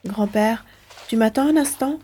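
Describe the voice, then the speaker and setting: Woman playing an eager little farm boy, soft-toned. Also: fairly high pitch, measured pace, husky. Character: eager young farm boy, grandson on holiday. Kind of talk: French-language audio drama acting.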